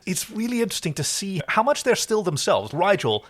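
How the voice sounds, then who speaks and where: Husky man chatting analytically. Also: high-pitched, very animated, fairly quick, a bit bright. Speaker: podcast co-host. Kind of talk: casual TV episode discussion.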